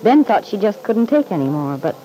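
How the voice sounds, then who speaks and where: A woman's voice: mid-range voice, very animated, a little fast, warm tone, lightly acted, low-quality recording. An actress playing a catty, disillusioned woman in a vintage radio soap, arch, needling and drily amused. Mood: curious, dry. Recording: old radio recording with faint hiss.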